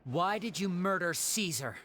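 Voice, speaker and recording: An actress playing a cool, probing young investigator in a video game, composed and lightly taunting. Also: female voice, on the low side, lively intonation, measured pace, a bit bright, a little hiss or hum. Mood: taunting, firm. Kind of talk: dramatic video game voice acting.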